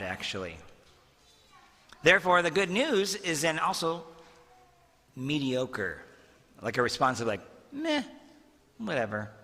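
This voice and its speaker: A man, a priest, giving an earnest, measured homily and asking the congregation rhetorical questions. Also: a little high, bright, forceful tone. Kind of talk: sermon. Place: church. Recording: long church reverb.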